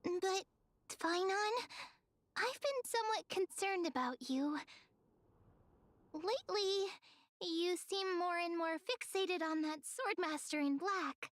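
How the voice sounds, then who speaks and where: Very high, bright, cute little companion. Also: female voice, slow pace, pauses often, dry recording, heavily acted. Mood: concerned. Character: tiny sweet female companion, childlike, caring and perky. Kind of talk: English video game voice acting.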